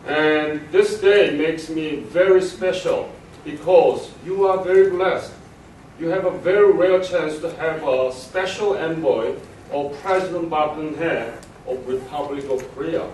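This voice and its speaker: A man hosting a ceremony, making formal introductions in a slightly halting way. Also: a little high, darkish tone, slight background noise. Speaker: ceremony host. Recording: echoey hall recorded from far off.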